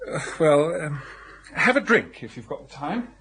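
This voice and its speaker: An actor playing a hard-up, lovelorn young English writer, hesitant, rueful and well-bred, in a radio drama. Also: male voice, a little high, big pitch swings, a little slow, fairly bright tone, full character voice, rough recording. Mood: sheepish. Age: young adult.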